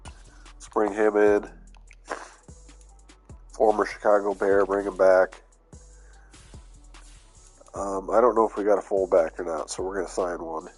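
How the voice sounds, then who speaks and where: A man's voice: low voice, flat delivery, measured pace, long pauses, slightly rough, faint music behind. A man with a stuffy, under-the-weather voice giving laid-back, casual commentary over a video game as he plays, thinking aloud with fillers. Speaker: gaming YouTuber.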